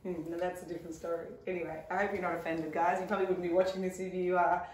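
A woman's voice: on the low side, little pitch movement, very fast, darkish tone. Gigly woman about 30, sick and losing her voice, roomy sound, mic a ways off. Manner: giggly, chatty. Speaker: vlog host. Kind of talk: casual chat. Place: living room on a sofa. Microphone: far camera. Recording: echoey room from far off.

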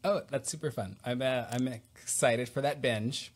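A man hosting a podcast, chatty and quick to laugh, on a headset mic in a small room over a video call. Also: mid-range voice, husky. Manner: chatty, laughs easily, filler words. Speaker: podcast host. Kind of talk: casual interview chat.

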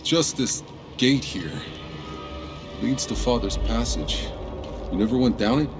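An actor playing a rugged, weary, stoic middle-aged warrior in a video game, gravelly and laconic, with dry understatement. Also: male voice, mid-range voice, lively intonation, takes it slowly. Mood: guarded, questioning. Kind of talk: naturalistic game cutscene acting. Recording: loud cinematic music underneath.

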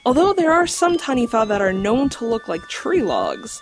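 A woman playing an earnest, scholarly guide to mythical creatures in an audio drama, explaining in a measured, slightly formal way. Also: on the high side, big pitch swings. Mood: explanatory. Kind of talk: audio drama acting, storytelling. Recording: music under the voice.

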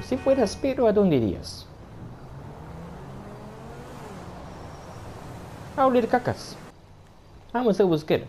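A man's voice: extremely high pitch, a little hiss or hum. A man putting on the voice of a crude, boastful, foul-mouthed rich foreigner with broken grammar, commentating a game in character. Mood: brash. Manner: swears constantly, broken grammar, brash and rude.

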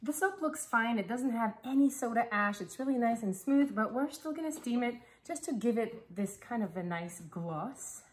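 A woman's voice: fairly high pitch, animated, moderate pace, few pauses, echoey room. A woman in her thirties narrating her craft work in a casual, upbeat, appreciative way. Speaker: soap maker presenting own videos. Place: small indoor workshop kitchen.

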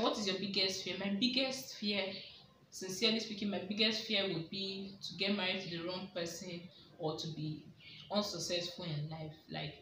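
A woman's voice: medium pitch, fairly bright tone, a little hiss or hum. Young Nigerian woman in an echoey room. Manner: thinks aloud, repeating questions to herself. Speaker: vlogger. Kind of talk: casual question-and-answer vlog. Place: small indoor room with a plain wall. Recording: echoey room, mic at a distance.

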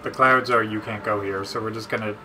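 A man's voice: on the low side, steady tone, fairly quick, music in the background. A man commenting casually on a video game with friends, dry and full of references. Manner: dry, reference-heavy joking. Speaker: let's play commentator. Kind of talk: casual gaming banter.

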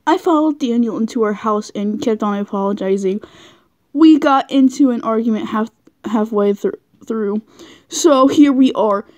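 A woman's voice: a little high, normal speed, darkish tone, dry recording, lo-fi sound. A woman doing a casual, goofy read-aloud of fan fiction, giggly and often stumbling over her words. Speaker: amateur reader of fan fiction.

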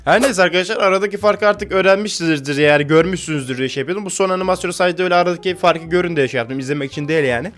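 A man's voice: sits high, racing, few pauses, fairly bright tone. A man in his twenties giving casual, slangy, jokey reaction commentary as a video host. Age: 20s. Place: small indoor room with brick-patterned wall.

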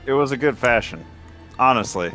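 Male co-op gamer, excitable and joking, very lively pitch, game music behind. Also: normal speed. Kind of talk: casual gaming commentary.

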